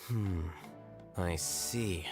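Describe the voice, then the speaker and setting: An actor playing a coolly logical male scholar in a video game, speaking in a measured, detached way. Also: deep voice, a little mellow, soft background music. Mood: calm.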